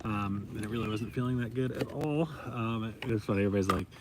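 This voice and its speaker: A man's voice: lowish voice, fast talker. A man vlogging from a long-distance hiking trip, chatting casually with lots of ums and likes. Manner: rambling, many ums and likes. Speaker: vlogger, trail hiker. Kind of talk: casual vlog chat. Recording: distant mic, loud outdoor noise.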